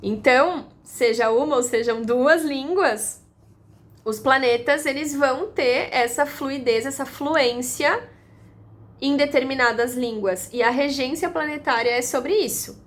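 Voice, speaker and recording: A woman giving a calm, explanatory lesson, repeating her points and using analogies. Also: high-pitched, unhurried. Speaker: astrology teacher.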